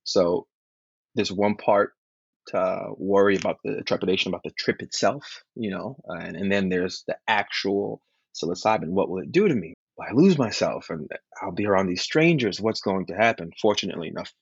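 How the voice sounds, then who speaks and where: A man from Liberia, a podcast guest, talking in an earnest, measured way about grief and healing. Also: low-pitched, lively intonation, vocal fry, slightly rough, no echo. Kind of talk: reflective interview talk. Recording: dull lo-fi call audio.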